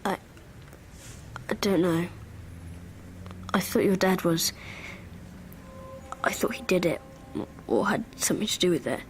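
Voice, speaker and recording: A woman's voice: fairly low pitch, unhurried, pauses often, faint music behind, close to an everyday voice. A woman playing an anxious, secretive twelve-year-old British schoolboy in an audio drama, answering in hesitant, clipped phrases. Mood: wary, intense. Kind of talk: naturalistic audio drama acting.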